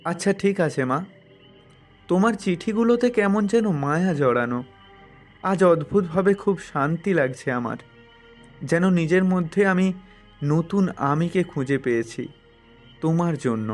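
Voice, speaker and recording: A man's voice: a little high, lively intonation, normal speed, pauses often, close to an everyday voice. A man voicing a sincere, wistful, devoted young man in a cartoon moral story. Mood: tender, resolved. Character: earnest, dreamy young man devoted to a letter. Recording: music behind the voice.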